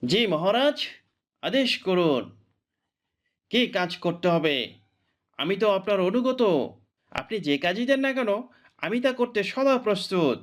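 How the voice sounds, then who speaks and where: An eager royal minister from a cartoon, played by a man, high in pitch for a male voice. His manner is formal, obedient and deferential. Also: sing-song, measured pace, pauses often. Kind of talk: cartoon voice acting.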